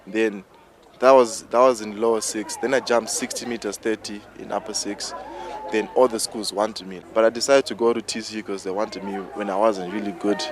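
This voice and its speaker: A young man in his twenties speaks casually in an interview, with a Zimbabwean accent. His voice is on the low side, and a crowd is clearly heard outdoors behind him. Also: fairly even intonation, normal speed. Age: 20s. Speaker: triple jumper, former student.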